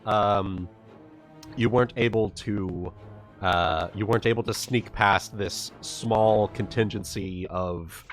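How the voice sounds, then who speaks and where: A man narrating a live tabletop roleplaying session as game master, halting as he searches for words. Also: deep voice, a bit bright. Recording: music playing behind the voice. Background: background music.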